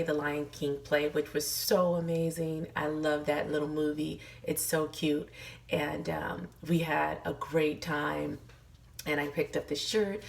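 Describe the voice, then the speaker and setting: A middle-aged woman vlogging in a casual, encouraging way while losing her voice, hoarse and full of fillers. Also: low voice, recorded from a distance, slight background noise. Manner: chatty, many fillers, earnest encouragement. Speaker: lifestyle vlogger. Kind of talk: casual motivational talk to camera.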